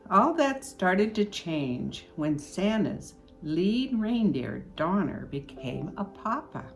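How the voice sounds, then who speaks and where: An older woman reading a children's storybook aloud in an expressive, unhurried way. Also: lowish voice, vocal fry. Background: music.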